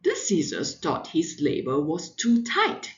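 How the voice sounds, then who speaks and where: A woman reading a children's storybook aloud with expressive, deliberate delivery and dramatic emphasis. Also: lowish voice, lo-fi sound, background noise. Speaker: parent reading a picture book aloud. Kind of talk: animated children's storybook narration.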